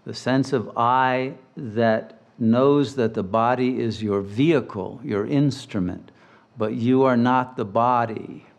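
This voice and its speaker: Male meditation guide, mid-pitched, very unhurried, soothing and deliberate, leaving long pauses. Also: steady tone, gravelly. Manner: measured, deliberate, soothing phrasing. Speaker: meditation teacher.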